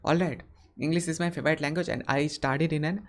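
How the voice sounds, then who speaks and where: A man in his early twenties from West Bengal with a Bengali Indian accent, answering viewer questions casually and deliberately, often repeating his phrases. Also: a little high, steady tone. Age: early 20s. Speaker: YouTuber hosting a Q&A live stream.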